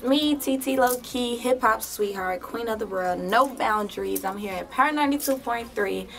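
A woman's voice: on the high side, moderate pace, creaky. A young adult woman chatting casually to the camera in a vlog. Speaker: singer, subject of the vlog. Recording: music over talk. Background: music.

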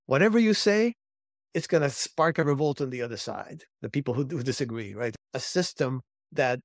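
A man with a mid-pitched voice talks as a guest analyst in an interview. He is reflective and opinionated, speaking conversationally. The sound is dry, with very little room on it. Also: lively intonation, normal speed, no echo.

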